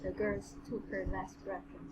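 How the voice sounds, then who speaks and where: A woman's voice: medium pitch, little pitch movement, moderate pace. Woman reading a story over heavy noise. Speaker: podcast host reading aloud. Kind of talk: amateur story narration with casual comments. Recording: heavily noisy room recording.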